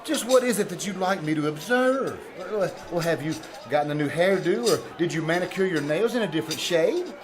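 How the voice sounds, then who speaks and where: A man's voice: high-pitched, expressive, fairly quick, hardly any pauses, crowd noise. A man playing a shy, polite, oblivious biology teacher with a hesitant, stammering manner in old-time radio comedy. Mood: bashful, hesitant. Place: small-town theater stage, live performance. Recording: live theater stage with audience.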